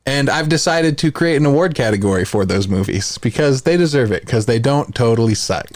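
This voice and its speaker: A man's voice: mid-range voice, lively intonation, fairly quick, dry recording. Dry-recorded male podcast co-host chatting. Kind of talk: casual opinionated podcast chat.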